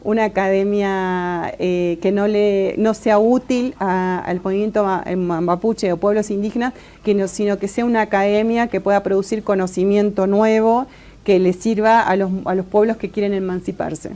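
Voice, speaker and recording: A woman with an Argentine accent answering interview questions thoughtfully, with many pauses and restarts. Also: medium pitch, fairly even intonation, mellow. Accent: Argentine Spanish. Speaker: interviewee, political scientist.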